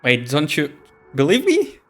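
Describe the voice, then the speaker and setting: Game reactor: middle pitch, casual, playful teasing, light music underneath. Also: wildly swooping pitch, a little slow, fairly bright tone. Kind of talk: casual gameplay reaction commentary.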